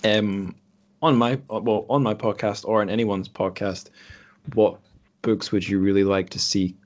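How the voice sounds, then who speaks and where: A man's voice: on the low side, fairly even intonation, measured pace, lo-fi sound, slight background noise. A man hosting a podcast, talking casually in a rambling, reflective way. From Scotland. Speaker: podcast host. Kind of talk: casual conversation. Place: group online call.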